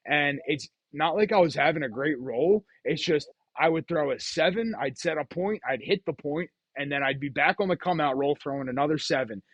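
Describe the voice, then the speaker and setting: A man's voice: fairly high pitch, normal speed, few pauses, no echo. A man chatting casually and telling gambling stories as the host of the show. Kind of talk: casual chat, storytelling about craps.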